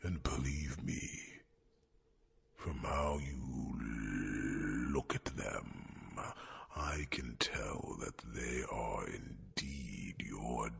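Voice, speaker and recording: A man voicing an old, wise male dragon mentor in an ASMR fantasy roleplay, slow and gently teasing with soft chuckles and breathy whispers. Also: exaggerated voice. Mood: encouraging. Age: older. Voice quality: deep gravelly voice with breathy whispers.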